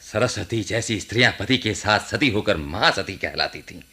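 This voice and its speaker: An actor playing a pious, indignant old priest in a radio play, scolding in an emphatic way. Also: male voice, lowish voice, expressive, normal speed, pushed and bright, husky, heavily acted. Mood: admonishing. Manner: scolding, emphatic, reverent about tradition. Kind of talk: Hindi radio play acting.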